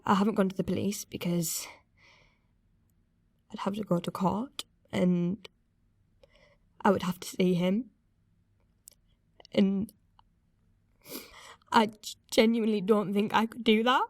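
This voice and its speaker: A young woman speaks in a naturalistic radio confessional. She is hesitant and uneasy but candid, with a medium pitch in a very dry close recording. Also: fairly even intonation, vocal fry, no room sound. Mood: upset, reluctant. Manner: hesitant, halting, candid, swearing. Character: young woman uneasily recalling an abusive first relationship.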